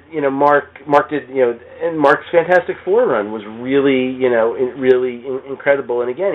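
A man in a casual comics discussion, talking in a rambling way and often saying "you know.". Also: medium pitch. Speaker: podcast guest. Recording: tinny phone-like audio.

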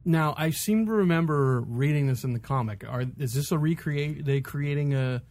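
A man's voice: fairly high pitch, moderate pace, a little mellow. A man on a podcast panel chatting casually in a rambling, filler-heavy way. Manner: rambling, peppered with "like". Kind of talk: casual chat.

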